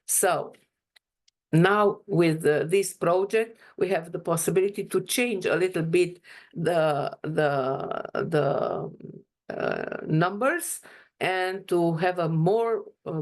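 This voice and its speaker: Woman presenter, low-ish voice, slow and hesitant delivery. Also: darkish tone. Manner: hesitant, many fillers and restarts. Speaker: webinar presenter. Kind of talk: halting presentation.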